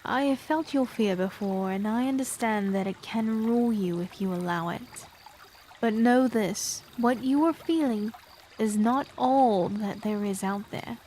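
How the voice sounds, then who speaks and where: A woman playing a calm, measured queen. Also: a little high, slight background noise, natural voice. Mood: calm, consoling. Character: reserved young woman, later a composed queen. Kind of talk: audio drama acting.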